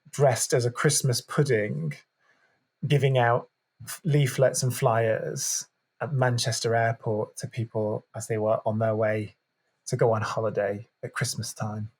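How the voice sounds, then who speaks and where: Husky man, candid and reflective. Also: mid-range voice, fairly even intonation, measured pace, darkish tone. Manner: open, reflective, with frequent restarts and throat clearing. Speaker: podcast guest. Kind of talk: candid conversational interview.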